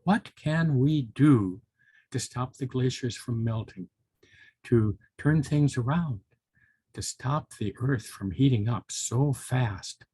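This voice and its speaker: An older man with a husky voice, reading a storybook and chatting to young children. He is folksy and musing, and the recording is close and dry. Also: mid-range voice, expressive, takes it slowly, dark tone, dry recording. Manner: folksy, musing, with interjections like hmm and well. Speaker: volunteer storybook reader for children.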